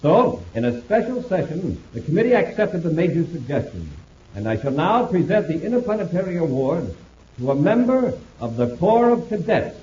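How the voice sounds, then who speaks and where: A man's voice: fairly high pitch, sing-song, normal speed, warm tone, a little hiss or hum. An actor playing a male official who presents an award, addressing the audience in a formal, ceremonious 1950s radio style. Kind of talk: 1950s radio drama acting. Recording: muffled old radio transcription.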